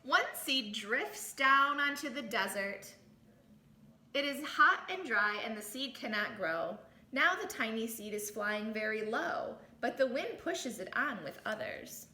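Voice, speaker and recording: A woman reading a storybook aloud to children in an expressive teacher's way, adding little asides, in an echoey room. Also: fairly high pitch, unhurried, a little hiss or hum. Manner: animated, exclaims and comments on the story.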